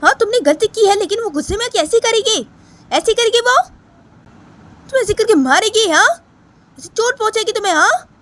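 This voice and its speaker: A woman's voice: very high voice, expressive, fairly quick, long pauses, a bit bright, voice pushed up. A woman voicing an angry, scolding grandmother in a story, pressing insistent, repetitive questions. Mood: indignant. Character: scolding, angry grandmother berating her daughter-in-law.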